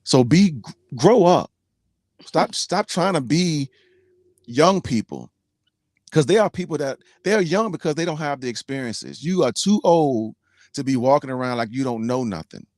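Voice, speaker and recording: A man's voice: a little high, animated, moderate pace, a little mellow, no room sound, studio quality. A man in his forties, a team trucker and co-host, talking bluntly and preachily in casual slang. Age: 40s. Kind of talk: casual podcast chat.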